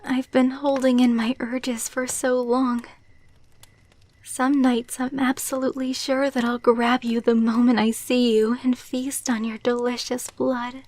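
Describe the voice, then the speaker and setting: Girl doing a loving vampire gf for asmr, breathy n gentle, real even tone, super close dry mic. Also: on the high side, a little slow, no echo, lightly acted. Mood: tender. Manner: soft, intimate, breathy delivery, often dropping to whispers. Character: tender, devoted female vampire girlfriend, intimate and reassuring. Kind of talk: ASMR roleplay. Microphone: close microphone, dry.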